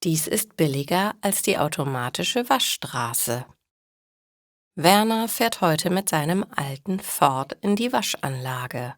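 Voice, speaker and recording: A woman reads a story aloud for language learners. Her voice sits a little low and she goes very slowly, with careful, measured delivery. The recording is dry and close, with no room sound. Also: lively intonation, no room sound. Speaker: narrator of stories for German learners. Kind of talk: slow, scripted story narration for language learners.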